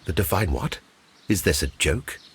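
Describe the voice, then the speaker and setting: Brusque, husky gentleman with lively intonation. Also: sits low, normal speed, heavily acted. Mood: scornful. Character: brusque, dismissive English office gentleman. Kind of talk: scripted game dialogue, giving testimony.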